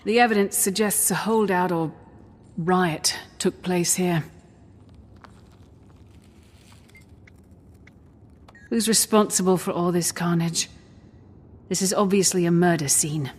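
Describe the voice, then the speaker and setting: Woman as a low-voiced, serious adventurer heroine. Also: moderate pace, big-room sound, natural voice. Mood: grimly curious. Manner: sober, terse, inquisitive. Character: serious, no-nonsense female adventurer hero, sober and impatient. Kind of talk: scripted video game dialogue. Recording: game music behind. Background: game music.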